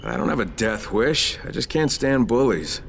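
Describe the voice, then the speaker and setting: An actor playing an earnest, dogged outsider in a video game, speaking plainly and sometimes hesitantly. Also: male voice, mid-range voice, big pitch swings, moderate pace, vocal fry, a little hiss or hum. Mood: quiet, firm. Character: earnest male stranger seeking medicine, dogged and plain-spoken.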